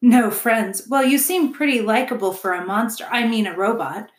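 A woman's voice: a little high, normal speed, echoey room, natural voice. A woman voicing a grumbling, stubborn beaver husband in a children's storybook, blustery, grudging and fussy. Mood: businesslike.

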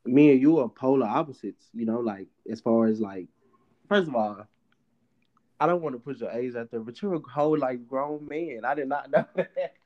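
A man of about twenty co-hosts a casual podcast, joking and cutting in with quick reactions. His pitch sits in the middle for a man. The recording sounds somewhat dull and muffled. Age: 20s. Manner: playful, jokey, chimes in a lot. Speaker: podcast co-host. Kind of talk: casual chat.

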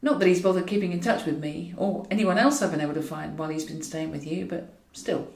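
A woman's voice: on the low side, fast, creaky, darkish tone, voice pushed down. A woman voicing a bluffing female talent agent in an audiobook, politely gushing with careful tact. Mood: fond, concerned. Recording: roomy echo, distant from mic.

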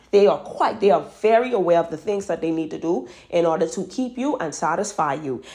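Bahamian girl in her 20s hyping up her listeners with dating advice, kinda low voice, really emphatic. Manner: emphatic, repeats words for stress, addresses listeners directly. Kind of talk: casual advice monologue.

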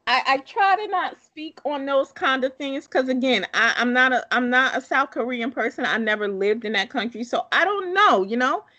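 A woman's voice: sits high, animated, moderate pace, hardly any pauses, sharp tone. Emphatic woman chatting bluntly about a book. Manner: emphatic, blunt, repeats herself. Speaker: book discussion co-host. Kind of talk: casual book chat.

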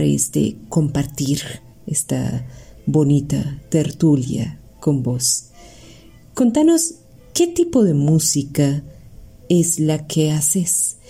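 A Costa Rican woman hosting an interview, speaking in a slow, formal and deliberate way. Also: low-pitched, vocal fry, breathy edge. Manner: warm formal praise, slow deliberate phrasing. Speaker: interview host.

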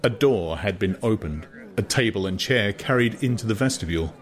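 A man's voice: on the low side, expressive, brisk. A man reading a novel aloud as narrator, in dramatized, scripted literary storytelling. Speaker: audiobook narrator.

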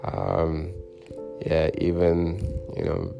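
A middle-aged man from Pakistan talks through a hobby story at an unhurried, halting pace, full of fillers and restarts. His voice sits very low with a gravelly fry. Faint music plays behind him. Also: lively intonation, lo-fi sound. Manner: slow, hesitant, many fillers and restarts. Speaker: hobbyist sharing his t-shirt printing journey. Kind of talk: casual personal story.

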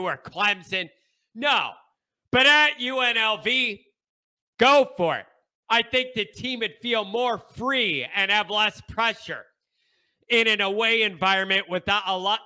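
A stuffed-up man hosting a sports talk monologue, his voice high-pitched and piercingly bright. He takes his time with each phrase, hammering points home through repetition and sudden shouted bursts. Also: clear, resonant tone. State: stuffed-up nasal congestion. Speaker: show host.

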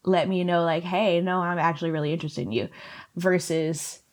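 A woman co-hosting a podcast in casual chat, slightly hoarse, laughing often and filling her talk with "like". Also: on the low side, steady tone, normal speed. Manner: laughing, chatty, lots of "like" fillers. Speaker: podcast co-host.

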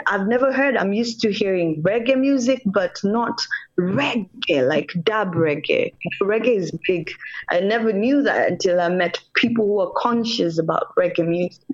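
A woman's voice: mid-range voice, muffled recording. A woman from Kenya, a reggae singer, talking earnestly about her music in a casual radio interview, in short repeated phrases. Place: radio call-in.

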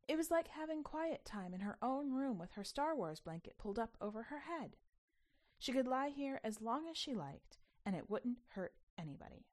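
A woman reading a short story aloud as an expressive, emphatic narrator with a sense of childlike wonder. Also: sits high.

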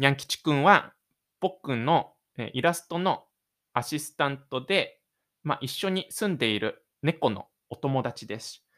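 A man's voice: mid-range voice, a bit bright. Casual, chatty male host and storyteller. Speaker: host and narrator of his own audio drama. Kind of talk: audio drama narration and casual host chat.

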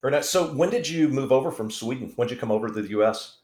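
A man hosting an interview, chatting in a relaxed way with occasional fillers before he asks his questions. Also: mid-range voice, rapid-fire, roomy sound. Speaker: interview host. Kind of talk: casual interview talk.

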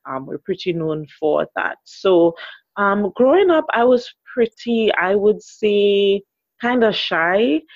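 A woman's voice: medium pitch, no room sound, muffled recording. A woman in her thirties from Trinidad and Tobago with a Trinidadian accent, speaking as a guest relationship educator in a thoughtful interview conversation.

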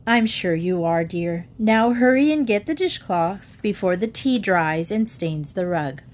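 A woman voicing a weary but patient mother who speaks gently to her daughter. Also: on the low side, animated, measured pace.

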